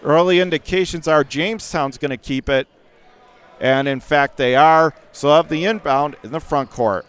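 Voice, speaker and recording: A man doing live radio play-by-play of a high school basketball game, speaking in clipped running phrases as the announcer. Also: normal speed, clear, resonant tone. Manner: clipped running phrases, swelling into excited calls during action.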